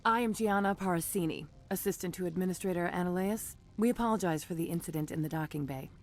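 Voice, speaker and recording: A woman's voice: on the low side, quick pace, faint music behind, natural voice. An actress playing a polished, businesslike female executive assistant in a video game, formal and composed. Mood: courteous, businesslike.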